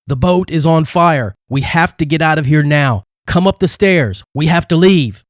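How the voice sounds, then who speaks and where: A man voicing a frantic, urgent young man shouting in danger in an audiobook. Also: on the high side, expressive, moderate pace, no room sound, studio quality, pitched above the performer's own voice, natural voice. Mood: urgent alarm. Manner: urgent, yelling. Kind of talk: audiobook character acting.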